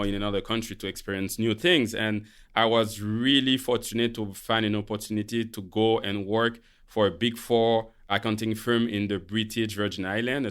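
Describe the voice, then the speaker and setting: A man from Haiti with a Haitian French accent, calmly explaining his career as a guest on a finance podcast. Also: fairly low pitch, clear, resonant tone. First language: Haitian Creole. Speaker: guest, portfolio manager. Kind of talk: podcast interview.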